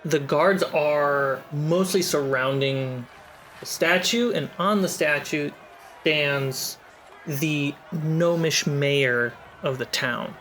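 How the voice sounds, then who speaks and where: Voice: mid-range voice, a bit bright, a little hiss or hum, low-quality recording. A game master who narrates a tabletop game scene and calls for dice rolls. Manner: slow, laughs often between lines.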